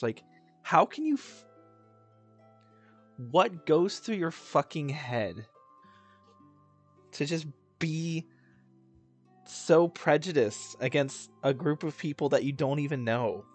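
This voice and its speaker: A man doing casual gameplay reaction commentary as a streamer, blunt, slangy and sweary. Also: medium pitch. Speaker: gaming streamer. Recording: faint game music behind the voice.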